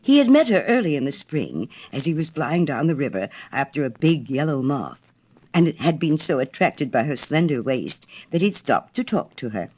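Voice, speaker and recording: A woman reads a storybook as a dramatic narrator. Her delivery is theatrical and expressive, and her pitch moves in wide, animated sweeps. The register sits low for a woman. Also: moderate pace, husky. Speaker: audiobook narrator.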